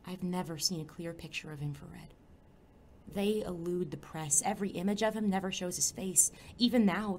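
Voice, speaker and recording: Woman as a wary young sidekick, rumbly background. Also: slight background noise, lightly acted. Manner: wary, dry, sometimes whispering. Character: anxious, sarcastic young female reluctant sidekick. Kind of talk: audio drama dialogue and first-person narration.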